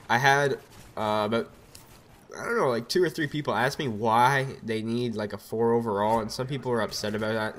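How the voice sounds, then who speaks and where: A young man with a cold, his voice a bit raspy, talking loosely over his gameplay on a desk mic at home, rambling with lots of fillers. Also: on the low side, fairly bright tone, slight background noise. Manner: rambling, filler-heavy, laid-back. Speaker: gameplay commentator. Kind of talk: casual gameplay commentary. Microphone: USB desk mic.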